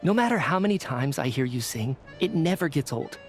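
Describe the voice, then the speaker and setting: Man playing an animated, flustered, eager theater devotee. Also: mid-range voice, brisk, soft background music, close to an everyday voice. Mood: earnest, admiring. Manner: earnest, slightly flustered, polite. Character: busy, eager male theater fan, polite and chatty. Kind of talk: video game character dialogue.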